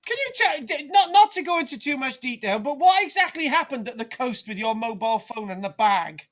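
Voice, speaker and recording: Woman, chatty host, stammering restarts, lively swooping pitch. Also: a little fast, muffled recording. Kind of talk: casual chat.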